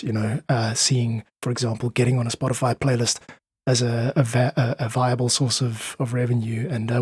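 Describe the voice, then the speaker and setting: Male podcast guest, husky mid-range voice, articulate explainer, dry sound. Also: medium pitch, darkish tone, no echo. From South Africa. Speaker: podcast guest, independent musician. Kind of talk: thoughtful interview talk.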